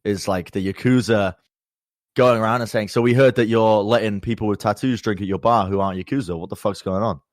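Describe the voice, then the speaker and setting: A man's voice: lowish voice, fairly even intonation, a little fast, no room sound, clean studio sound. A man co-hosting a podcast, chatting casually and explaining things, often laughing. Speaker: podcast co-host. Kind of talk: casual chat.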